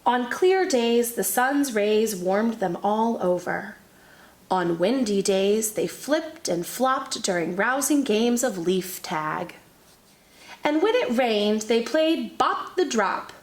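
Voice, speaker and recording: A woman teacher reading a children's picture book aloud in an expressive storytelling manner. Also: a little high, slow pace. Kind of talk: children's read-aloud narration. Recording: echoey room.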